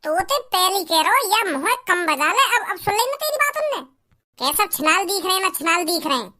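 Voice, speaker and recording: A cheeky young village prankster from a comedy cartoon. The voice is extremely high and bright. He talks slyly, drawing out his mockery. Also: very animated, a little fast, full character voice. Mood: annoyed, scolding. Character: cheeky, sly village youth, smooth-tongued prankster friend.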